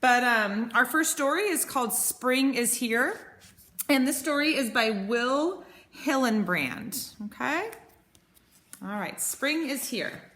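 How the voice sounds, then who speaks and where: Expressive, high-pitched woman reading to children. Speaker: teacher reading to young pupils. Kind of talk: picture-book read-aloud with explanations for children.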